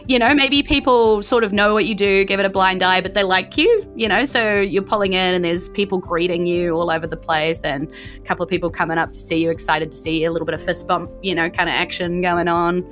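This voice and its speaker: Female game master narrating over soft music. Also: fairly low pitch, fairly even intonation, brisk. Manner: descriptive, conversational, hedging with "like". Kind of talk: narrating a tabletop game, semi-improvised.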